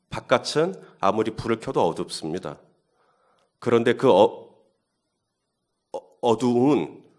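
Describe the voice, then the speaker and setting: A male pastor preaching a Holy Week sermon. He talks in a conversational way and builds up to emphatic questions. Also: medium pitch. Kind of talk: sermon at early-morning Holy Week service.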